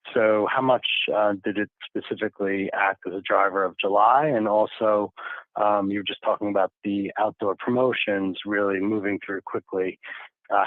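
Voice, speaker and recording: A man with a somewhat low voice questions executives as an analyst on an earnings call. He is casual and pauses hesitantly as he goes, heard through a narrow telephone band. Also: no room sound. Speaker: financial analyst asking questions. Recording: narrowband phone line, dry.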